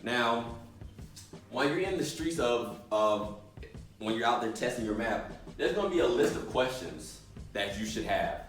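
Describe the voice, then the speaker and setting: A man's voice: medium pitch, moderate pace. A young man giving a casual motivational talk on entrepreneurship, telling stories and acting out voices. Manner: animated storyteller, acts out voices in anecdotes. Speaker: entrepreneurship coach. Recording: echoey room, distant mic, music behind.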